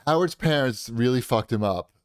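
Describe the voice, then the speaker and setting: A man co-hosting a podcast, talking through his points in a casual, analytical way with a deep voice. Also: lively intonation, moderate pace, background noise. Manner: analytical, with frequent restarts. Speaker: podcast co-host. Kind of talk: casual chat.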